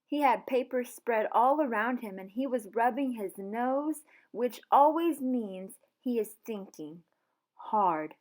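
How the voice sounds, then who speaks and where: A woman teacher reading a storybook aloud and talking to her students in an expressive, encouraging way. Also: a little high, takes it slowly. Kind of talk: storybook read-aloud and lesson to camera.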